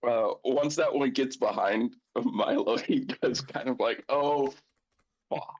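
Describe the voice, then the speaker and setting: A man's voice: medium pitch, a little slow, darkish tone, lo-fi sound. A man playing a tabletop game, chatting casually about what his character does.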